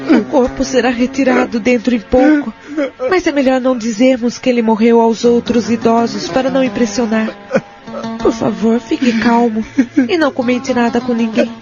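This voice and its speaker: A compassionate Brazilian care-home woman played by an actress speaks gently and seriously in an audio drama. Her voice sits a little high, with music clearly behind her on a slightly muffled recording. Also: steady tone, normal speed, natural voice. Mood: discreet, consoling. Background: background music.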